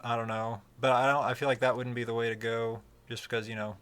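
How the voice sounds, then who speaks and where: A man with a fairly low voice, chatting casually on a livestream and rambling with many fillers and restarts. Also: level tone, fast, fairly bright tone, clear, resonant tone. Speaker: streamer talking about microphones.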